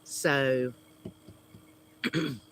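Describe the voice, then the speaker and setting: A man's voice: mid-range voice, fairly even intonation, drawn-out words, vocal fry, fairly bright tone, a little hiss or hum. A man with a Southern US accent hosting a homesteading livestream from outdoors at home. He chats casually with viewers in a hesitant, friendly way with many fillers. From United States. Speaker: homesteading channel host.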